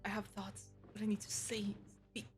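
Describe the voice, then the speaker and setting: Young woman, game streamer, average pitch, casual live commentary, clear background music. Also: completely flat delivery, moderate pace.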